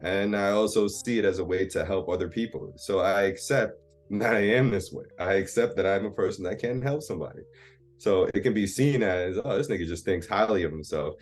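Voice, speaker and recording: Deep-voiced man, candid and self-assured. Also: a little fast, faint music behind. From Jamaica. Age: 30s. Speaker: therapy client guest. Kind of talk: casual therapy conversation.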